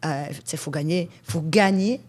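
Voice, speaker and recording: Tell it like you're chatting with a friend: you're a woman in her forties, born in Switzerland, speaking from the lower end of your range and keeping the story frank and lively. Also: moderate pace. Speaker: guest, hypnotherapist. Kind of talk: conversational interview.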